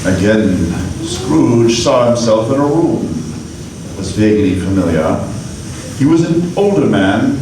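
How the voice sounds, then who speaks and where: A man narrating a live radio play in a slow, deliberate way. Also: lowish voice, big pitch swings, noisy background. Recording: echoey hall recording from far off.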